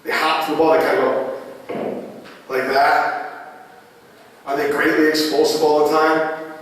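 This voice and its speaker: Hoarse man coaching in an echoey hall. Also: a little high, level tone, unhurried, fairly bright tone, far from the mic. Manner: repetitive, drilling phrases, demonstrative. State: raspy voice. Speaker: lacrosse goalie coach. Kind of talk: coaching clinic lecture.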